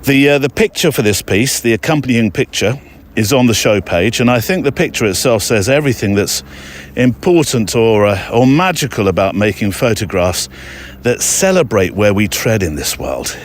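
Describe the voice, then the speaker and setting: Low-voiced man chatting as he walks. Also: lively intonation, normal speed, slight background noise. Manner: chatty, rambling, hesitant asides. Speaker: podcast host. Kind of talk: casual walking monologue to listeners. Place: outdoors, walking in an overgrown park.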